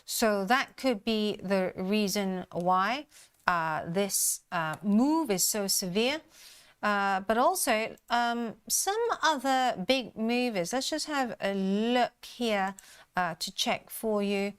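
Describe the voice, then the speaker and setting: A woman's voice: mid-range voice, slow, smooth. A woman hosting a live trading show, chatting through stock moves with many fillers and an upbeat manner. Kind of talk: live market commentary chat.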